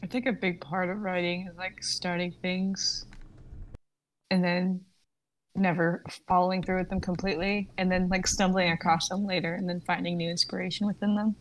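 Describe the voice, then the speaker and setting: A woman's voice: lowish voice, level tone, moderate pace, slight background noise, low-quality recording. A young woman chatting casually and teasingly as a co-host of a group stream. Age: young adult. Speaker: co-host of an online readers' stream.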